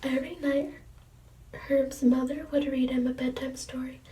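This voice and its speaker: A woman reading children's storybooks in a soft, breathy whisper, gently commenting on the pictures between pages. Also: sits high, steady tone, normal speed, far from the mic. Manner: gentle, with quiet asides on the pictures. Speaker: storybook reader.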